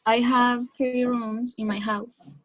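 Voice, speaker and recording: A woman's voice: on the high side, flat delivery, a little hiss or hum. A teenage girl from Colombia with a Spanish accent, answering hesitantly in a speaking exam with many fillers. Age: teens. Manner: hesitant, halting learner English with fillers. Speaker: exam candidate.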